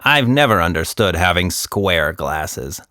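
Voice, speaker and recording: A man's voice: low voice, sing-song, unhurried, bright, forceful tone, clean tone, no room sound, clean studio sound, exaggerated voice. A man voicing a talkative, short-sighted female monkey who rambles and interrupts in a children's story. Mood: matter-of-fact.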